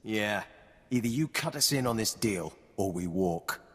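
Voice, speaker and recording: A man's voice: on the low side, lively intonation, a little slow, fairly bright tone, breathy edge, in a large hall, heavily acted. An actor playing a plain-spoken, suspicious male trooper in a video game.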